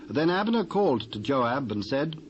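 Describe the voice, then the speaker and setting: A British man narrating dramatised scripture in a measured, formal storytelling manner. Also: mid-range voice, very animated. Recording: dull, hissy old cassette transfer.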